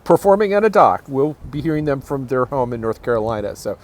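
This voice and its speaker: A man's voice: medium pitch, sing-song, a little fast. A man hosting a music festival, making casual, unscripted announcements in a rambling, enthusiastic way. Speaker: festival host.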